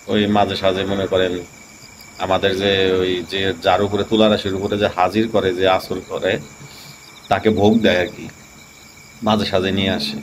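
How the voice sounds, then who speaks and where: Deep-voiced man telling a ghost story. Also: background noise. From Tangail, Bangladesh. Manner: rambling, many fillers and restarts. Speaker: channel host. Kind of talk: casual storytelling.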